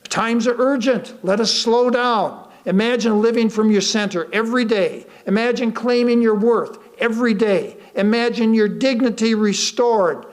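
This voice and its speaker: A man reading a sermon aloud from a script, deliberately and with emphatic pauses. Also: high voice. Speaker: lay reader of a sermon.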